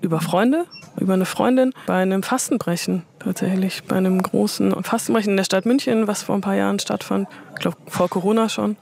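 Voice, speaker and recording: A woman's voice: medium pitch, steady tone, fairly quick, vocal fry, darkish tone, slight background noise. Female interview guest, conversational, explanatory and conciliatory. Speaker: interview guest, museum curator.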